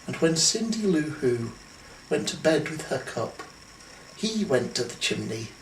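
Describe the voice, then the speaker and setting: Male storybook narrator: theatrical, slow-paced, highly varied pitch, recorded at a slight distance with some noise. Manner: dramatic, theatrical. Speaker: story reader. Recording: roomy, distant, faintly noisy recording.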